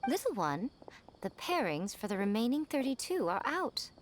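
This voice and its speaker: A woman's voice: a little high, big pitch swings, normal speed, slight background noise. An actress playing an elegant, sly adult noblewoman in a video game, composed, formal and teasing. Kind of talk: animated game voice acting.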